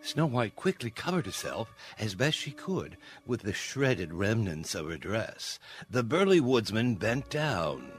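A man narrating a dark fairy tale as a theatrical, scripted radio-play storyteller. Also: fairly low pitch, big pitch swings, a little slow, few pauses. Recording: prominent music under the voice.

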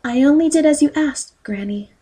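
A woman's voice: sits high, normal speed, warm tone, lightly acted. A woman playing a timid, dutiful young girl in a fairy-tale audio drama, meek and polite.

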